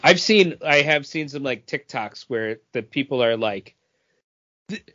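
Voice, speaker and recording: A man's voice: mid-range voice, animated, unhurried, bright, forceful tone, dry recording, highs cut off. A man talking casually as a co-host in a podcast panel discussion.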